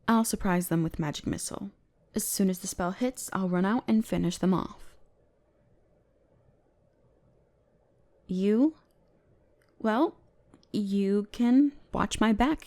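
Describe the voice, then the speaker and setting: A woman's voice: medium pitch, darkish tone, close to an everyday voice. A woman playing a bratty, haughty female elf mage, bossy, exasperated and condescending, in a scripted roleplay audio drama. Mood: confident, boastful.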